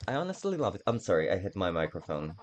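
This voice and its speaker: A man giving casual game playthrough commentary in a halting, self-deprecating way, swearing freely. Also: low-pitched, sing-song. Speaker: game streamer.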